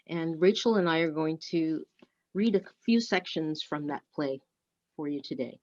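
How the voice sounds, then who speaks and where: A woman reading a prepared script aloud as a service leader, with deliberate, measured delivery. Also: sits low, a little mellow. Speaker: worship service co-leader and reader. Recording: dull, lo-fi muffled sound.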